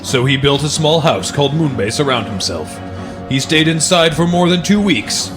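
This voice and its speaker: A man's voice: fairly high pitch, lively intonation, normal speed. A man hosting, reading children's books aloud and chatting casually about them. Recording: music behind the voice. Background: background music.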